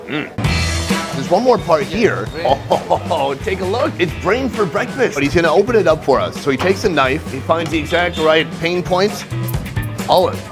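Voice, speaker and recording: A man's voice: fairly high pitch, expressive, normal speed. A man hosting a street food travel video, tasting dishes on camera and joking as he goes. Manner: playful, joking, enthusiastic. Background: background music.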